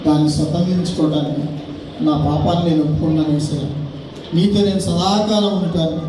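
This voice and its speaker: A man's voice: fairly high pitch, steady tone, brisk, warm tone. A man preaching to children and leading prayer, exhorting them in short phrases and rhetorical questions. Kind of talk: children's sermon and led prayer. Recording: echoey hall, distant mic, noisy crowd.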